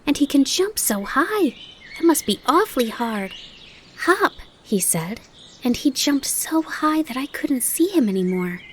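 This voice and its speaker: A woman's voice: high-pitched, sing-song, unhurried, a little hiss or hum, voice pushed up. A woman voicing an eager, curious young male fawn who asks wide-eyed questions. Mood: excited.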